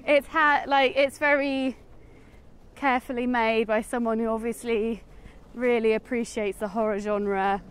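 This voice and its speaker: A woman's voice: on the high side, fairly even intonation, unhurried, clean tone. A woman with an English accent chatting casually about a film while out walking, in a hesitant, hedging way. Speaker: film review vlogger. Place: outdoors, walking in woods.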